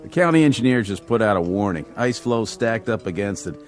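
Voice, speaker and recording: An actor playing a steady, dryly skeptical state trooper in a radio drama, laconic and authoritative. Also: male voice, lowish voice, a little fast, soft background music, lightly acted. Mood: official, calm.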